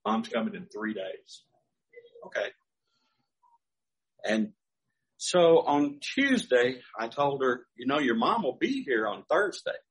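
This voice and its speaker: A man's voice: mid-range voice, sing-song, takes it slowly, pauses often, rough recording. An older man, a pastor, preaching a sermon through folksy personal stories with chuckling asides.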